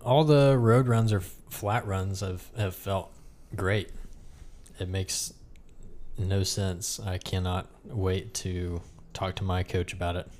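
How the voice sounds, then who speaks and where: A man's voice: sits low, steady tone, slow, darkish tone. A man hosting a casual podcast, chatting in a joking, sarcastic way with his co-host. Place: room with another person.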